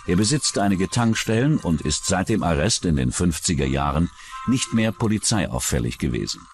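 A man's voice: low-pitched, lively intonation, normal speed, soft background music. A man reading scripted narration for a true-crime documentary.

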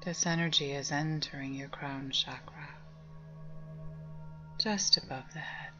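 Female meditation guide, low soft breathy voice, calming near-whisper, slightly muffled lo-fi recording. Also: measured pace. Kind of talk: guided meditation, slow spoken instructions. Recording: dull lo-fi sound under music. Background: meditation music with singing bowls.

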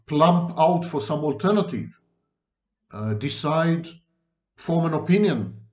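Emphatic man in a roomy room. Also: a little high. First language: Hebrew. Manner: deliberate, emphatic, gesturing, lists many near-synonyms. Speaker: lecturer on psychology. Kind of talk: lecture-style monologue to camera. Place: home room indoors. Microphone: webcam at desk. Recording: roomy, dull webcam audio.

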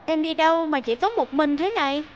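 Elder-sister butterfly played by a woman, high and gentle, cautioning, cartoon folk tale, dullish recording. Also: fairly quick, lo-fi sound, slight background noise, natural voice. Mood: concerned.

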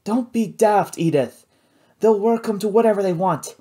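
Brief: narrator playing an elderly lady, thin, high, fussing. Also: moderate pace, mellow, close to an everyday voice. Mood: fretful. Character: frail elderly lady passenger.

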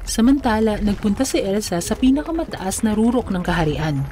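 A woman's voice: mid-range voice, very animated, a little fast, warm tone. A woman reading scripted fairy tale narration for children. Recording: music under the voice. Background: background music.

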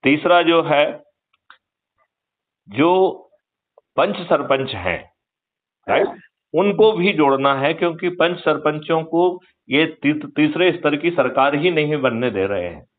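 Older male activist on a narrow-band phone recording, higher-pitched voice, emphatic, lecturing delivery that seeks agreement. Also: lively intonation, slow, pauses often. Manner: emphatic, lecturing, seeking agreement with rhetorical questions. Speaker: activist, retired police officer. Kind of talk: persuasive political talk on a phone call. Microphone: phone call.

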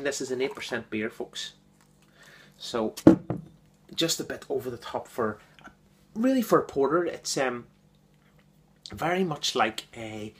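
A man giving an enthusiastic, casual beer review, repeating words and filling pauses with ums. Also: medium pitch, noisy background.

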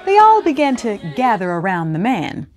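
Middle aged lady doing kids storytime, very expressive, voice goes up and down a lot. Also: mid-range voice, moderate pace. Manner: theatrical, animated. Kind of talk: expressive children's read-aloud narration. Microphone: lapel mic.

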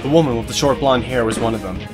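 A man's voice: mid-range voice, fast talker. A man reading scripted narration for an audio drama as the storyteller. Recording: music behind the voice.

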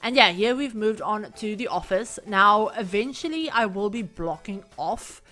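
A woman recording a casual, rambling voiceover for a home-building game video, her voice a little croaky from being sick. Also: mid-range voice, lively intonation, normal speed, sharp tone, soft background music. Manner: rambling, chatty, many fillers. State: croaky from illness. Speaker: Sims build creator. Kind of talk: casual voiceover over gameplay.

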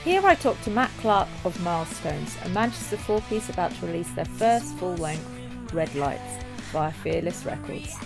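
A woman host giving a calm, measured scripted introduction to an interview episode. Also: fairly low pitch, creaky. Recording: voice over loud band music. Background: loud rock band music.

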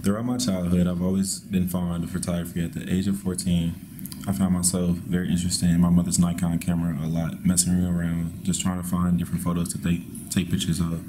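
A young man from Missouri, an art student, reading his artist statement in a calm, measured way. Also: low-pitched. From Missouri, USA. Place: indoor art gallery. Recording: steady background noise.

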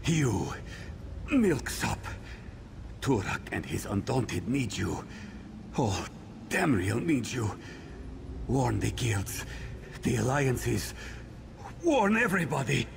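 An actor playing a gruff, embittered survivor of battle in a video game, gravelly, blunt and dramatically urgent. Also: male voice, mid-range voice, big pitch swings, slow, pauses often, exaggerated voice. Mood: urgent, alarmed. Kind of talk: scripted game character dialogue. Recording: noisy game ambience behind.

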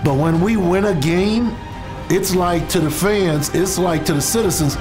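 A man's voice: high voice, unhurried, music in the background. A man, a former football player, speaking reflectively in a documentary interview.

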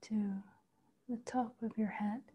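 A woman leading a guided body meditation, speaking slowly and softly, often in a half whisper. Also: fairly high pitch, steady tone, slightly rough. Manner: slow, soft, partly whispered guidance. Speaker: meditation teacher. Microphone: video call.

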